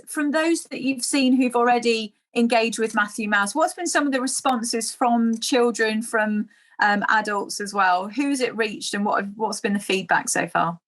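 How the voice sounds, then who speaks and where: A woman hosting an online webinar on a video call, encouraging her guest and summing up what was said. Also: fairly high pitch. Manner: encouraging, summarizing. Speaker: webinar host.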